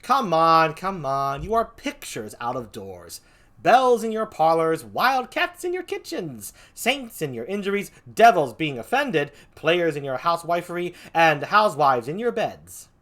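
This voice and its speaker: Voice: medium pitch, sing-song, measured pace, a bit bright, pitched above the performer's own voice. A performer reading a sardonic soldier husband in a teasing, biting Shakespearean stage manner. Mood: boisterous taunting. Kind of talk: amateur Shakespeare read-aloud.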